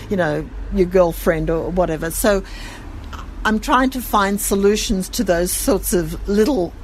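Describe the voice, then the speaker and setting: A woman's voice: on the low side, slight background noise, rough recording. A middle-aged woman who owns a gift shop, chatting casually in an interview in her store.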